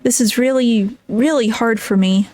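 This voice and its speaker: A woman reading a perfectionist teenage girl club president in a soft, halting voice. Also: fairly high pitch, lightly acted. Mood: strained.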